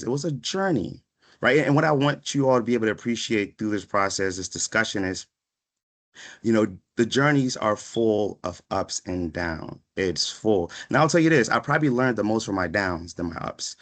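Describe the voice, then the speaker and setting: A man in his thirties speaks in a fairly low voice, giving a motivational talk to students. He is reflective and conversational, and he often pauses to check that listeners follow. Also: fairly bright tone. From born in Ghana, raised in Washington, D.C. area from age five. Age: 30s. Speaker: guest speaker, psychiatric pharmacist. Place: online video session.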